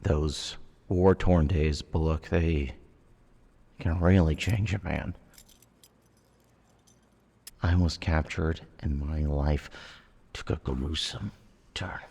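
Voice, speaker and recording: Brooding war vet villain, super deep raspy voice, slow n halting, menacing audio drama thing. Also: male voice, fairly even intonation, darkish tone, exaggerated voice. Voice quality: gravelly, raspy. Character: menacing, traumatized male war veteran turned killer suspect. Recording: long cavernous reverb.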